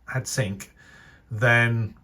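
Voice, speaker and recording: A British man giving a casual, unscripted review commentary in a measured, opinionated way, feeling for his phrasing as he goes. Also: mid-range voice, fairly even intonation, bright, forceful tone. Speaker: radio enthusiast reviewer. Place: voice-over over still images.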